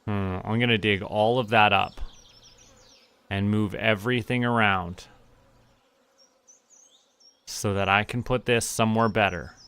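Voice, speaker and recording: A man from Canada streaming a farming game, talking to himself in a relaxed, muttering way as he plays. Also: lowish voice, unhurried, long pauses, clear, resonant tone. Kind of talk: casual gameplay commentary. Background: game music.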